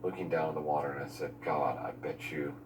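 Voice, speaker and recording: A man's voice: rumbling low, steady tone, a little fast. A man from a film crew reminiscing behind the scenes in a heartfelt, informal way. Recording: noisy, echoey, distant mic with hum.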